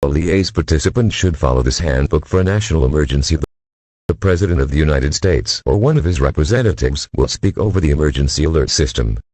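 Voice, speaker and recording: A man reading a scripted emergency broadcast announcement in a formal, measured, official manner. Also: rumbling low, lively intonation, a little mellow, husky, no echo.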